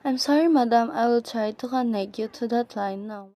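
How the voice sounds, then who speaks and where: Young woman as an apologetic, mellow switchboard operator. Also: on the high side, normal speed, dry recording, clean studio sound, lightly acted. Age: teenager. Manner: polite, apologetic. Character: apologetic, courteous telephone operator. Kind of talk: amateur radio drama acting.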